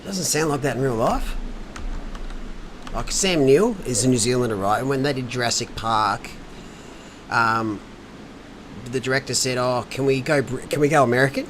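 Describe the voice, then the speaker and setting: A man's voice: medium pitch, moderate pace, long pauses, fairly bright tone. Brief: Australian male sim racing commentator, rambling and jokey, noisy hum behind. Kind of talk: casual race commentary chat.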